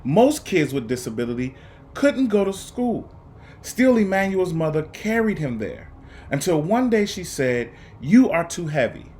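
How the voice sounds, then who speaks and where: A man reading a children's picture book aloud, phrasing it dramatically and deliberately with emphatic pauses. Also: on the high side, very animated, darkish tone, a little hiss or hum. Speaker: storybook reader.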